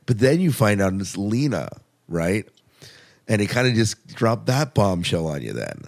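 Man, low voice, podcast host, casual discussion, reactive, question-led. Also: very animated, unhurried, creaky.

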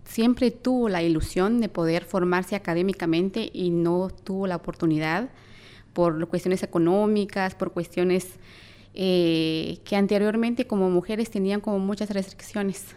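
A woman's voice: mid-range voice, measured pace, warm tone, clean tone. A young woman with a Guatemalan accent, speaking earnestly as an activist guest in an interview. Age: young adult.

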